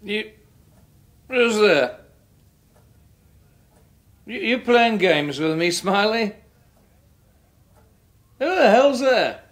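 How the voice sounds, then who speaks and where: An actor playing a scheming, chummy English husband in a rambling, repetitive, matey manner, in a 1980s radio drama. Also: male voice, sits high, animated, drawn-out words, pauses often, slight background noise, exaggerated voice. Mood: frightened, muttering.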